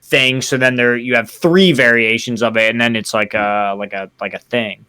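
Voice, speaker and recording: Male podcast co-host, crisp and forceful tone, inquisitive. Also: mid-range voice, fairly even intonation, moderate pace. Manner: questioning, sums up the other's point. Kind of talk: casual chat.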